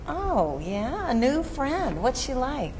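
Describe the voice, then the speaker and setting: A woman's voice: sits high, sing-song, takes it slowly, crowd noise, exaggerated voice. A woman reading a fussy doting mom. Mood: fretful. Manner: doting and fretful. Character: fussy caring suburban mother. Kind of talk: table read. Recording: noisy classroom recording.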